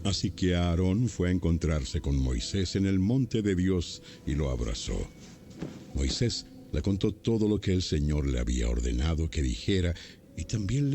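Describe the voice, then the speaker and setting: A man's voice: deep voice, mellow. A man narrating a dramatized Bible story from a script, as a storyteller between acted parts.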